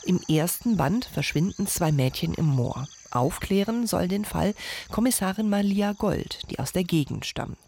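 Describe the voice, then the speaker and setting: A woman reporter reading a scripted radio feature in a lively, measured way. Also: lowish voice, vocal fry, a little hiss or hum.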